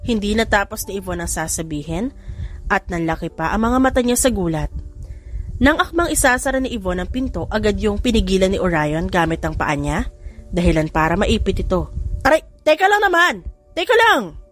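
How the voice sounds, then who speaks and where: A woman's voice: mid-range voice, a little fast. A woman with a Filipino accent reading a scripted dramatic story as an audiobook narrator. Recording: music bed under the voice. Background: music.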